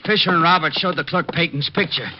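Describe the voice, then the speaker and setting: Brisk eager cop, a man playing him, highish voice, hissy old radio. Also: close to an everyday voice. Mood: urgent. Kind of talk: 1940s radio acting. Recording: noisy, dull vintage radio recording.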